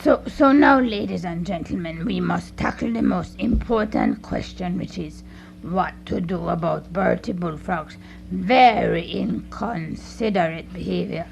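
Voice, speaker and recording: Slow, creaky, self-important animal chair played by a woman. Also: lowish voice, sing-song, a little mellow, heavily acted. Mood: orderly. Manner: pompous, deliberate, orderly. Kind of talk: radio play acting. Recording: faintly noisy live radio recording.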